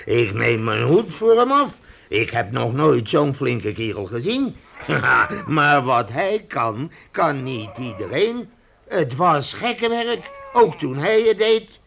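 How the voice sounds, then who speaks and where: A man's voice: mid-range voice, sing-song, slow, creaky, a bit bright, a little hiss or hum, exaggerated voice. An actor playing a hearty, domineering British trading-post chief in a radio drama, emphatic and chuckling as he warns settlers. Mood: grave warning.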